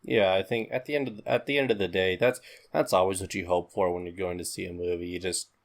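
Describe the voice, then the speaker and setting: Low-voiced man co-hosting a casual podcast. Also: fairly even intonation, fast talker. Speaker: podcast co-host. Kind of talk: casual pop culture podcast chat.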